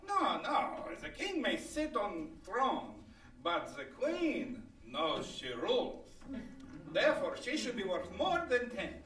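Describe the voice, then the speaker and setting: A proud, bossy older Eastern European woman lays down the law in slow, emphatic broken English. Her pitch swoops hugely. It is a live radio comedy in a roomy space. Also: huge pitch swings, fairly bright tone, full character voice. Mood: indignant. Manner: emphatic, indignant, broken English without articles. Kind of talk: old-time radio comedy acting before an audience. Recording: roomy live stage, distant mic.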